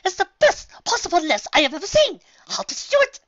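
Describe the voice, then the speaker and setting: A woman's voice: sing-song, quick pace, no room sound, lo-fi sound. A woman voicing a fussy, prim female pony who speaks theatrically and is easily appalled. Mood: amazed, delighted. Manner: theatrical, shrill outbursts, ranting primly.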